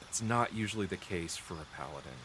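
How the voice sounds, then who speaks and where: Man, dry throat, coughs a lot, lowish voice, lively up-and-down delivery, rambling gameplay explainer, close mic, faint hiss. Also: fast. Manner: chatty, rambling, restarts and self-corrections, thinks aloud. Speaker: gameplay streamer. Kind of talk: explaining game mechanics while playing. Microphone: close desk mic.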